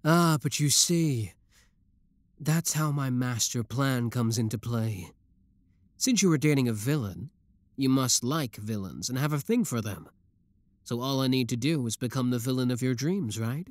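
A man's voice: medium pitch, animated, unhurried, no room sound, lightly acted. A young man voicing an obsessive, lovesick young male villain in a theatrical, brooding audio roleplay. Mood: smug, possessive. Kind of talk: roleplay audio drama monologue.

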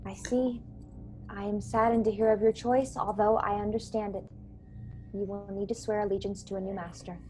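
A young woman playing an earnest, courteous starship officer in a radio play, measured, polite and gently persuasive. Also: medium pitch, little pitch movement, soft background music, close to an everyday voice. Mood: grave. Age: young adult. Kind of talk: radio play acting over video call. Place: small bedroom, indoors. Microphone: earbuds on a video call. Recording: clear background noise on video call.

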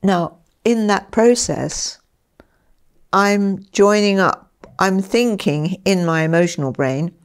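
An older woman being interviewed in a small study, explaining her work with children in an animated way and acting out little dialogues. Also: medium pitch, takes it slowly, clean tone. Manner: animated, gestures a lot, acts out dialogue. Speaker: interviewee, former school head. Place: small study with bookshelves, indoors.

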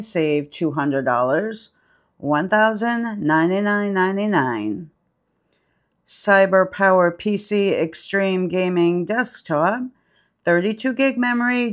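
A woman hosting her channel, steadily reading product names and sale prices off a printed flyer. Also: medium pitch. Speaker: channel host.

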